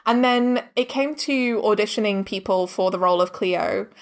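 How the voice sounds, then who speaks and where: A woman's voice: mid-range voice, moderate pace. A woman speaking as a podcast guest in casual interview chat, effusive and earnest, often dropping "like" into her sentences. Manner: effusive, earnest, peppers speech with "like". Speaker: podcast guest, audio drama director and producer.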